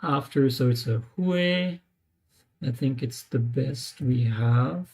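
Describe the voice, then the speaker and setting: A man talking casually during a live screen-share, thinking aloud with many pauses and fillers as he tries out words. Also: mid-range voice, darkish tone, breathy edge. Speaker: language learner streaming live.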